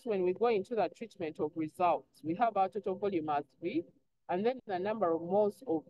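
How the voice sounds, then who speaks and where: Woman teaching online, dull lo-fi sound. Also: medium pitch. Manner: instructive, asks prompting questions and answers them herself. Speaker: chemistry teacher. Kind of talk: online lesson, explaining step by step. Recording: dull lo-fi online class audio.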